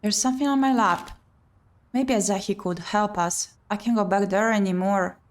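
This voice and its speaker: A woman streamer reading a visual novel aloud in an expressive, theatrical way. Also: mid-range voice, moderate pace. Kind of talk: dramatic read-aloud narration. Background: game music.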